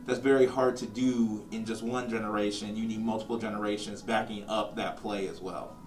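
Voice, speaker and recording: A man's voice: fairly low pitch, little pitch movement, fairly quick, a little mellow. A man talking reflectively and conversationally in an interview. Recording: echoey room, slightly distant mic. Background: background music.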